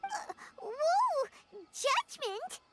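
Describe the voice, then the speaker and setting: A woman voicing a tiny, excitable, cheeky fairy sidekick in a video game, with a squeaky childlike voice. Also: extremely slow, over loud music, heavily acted. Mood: nervous.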